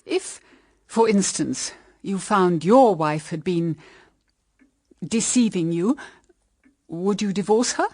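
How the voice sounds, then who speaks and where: A woman's voice: medium pitch, slow, pauses often, mellow. An actress with a British accent playing an evasive, anxious older mother-in-law in a period radio drama, hesitant and guarded. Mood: probing.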